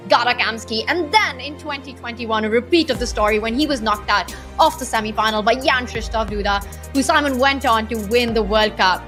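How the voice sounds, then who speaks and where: A woman with an Indian accent commentating live chess in a lively, good-humoured way. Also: on the high side.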